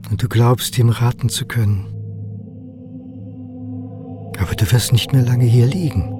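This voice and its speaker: An actor playing the solemn, fatherly spirit of a medieval Dominican mystic in a radio drama, speaking in a measured, prophetic way. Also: mid-range voice, lightly acted. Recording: music behind the voice. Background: music.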